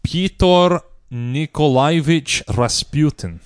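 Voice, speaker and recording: A man's voice: a little high, extremely slow, smooth, no room sound, clean studio sound. A man casually quizzing a friend on comic character names, playful and laughing along. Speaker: quiz host. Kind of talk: casual chat.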